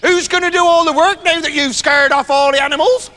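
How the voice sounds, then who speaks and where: Man playing a sharp, scolding farmer's wife. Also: very high-pitched, very animated, a little fast, in a large hall, exaggerated voice. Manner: sharp, no-nonsense nagging.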